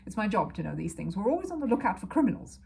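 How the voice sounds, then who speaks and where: A woman's voice: medium pitch, big pitch swings, racing, vocal fry, mellow, breathy edge, full character voice. Woman putting on a stern, swooping male detective. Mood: grim, forceful. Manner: stern, clipped, officious.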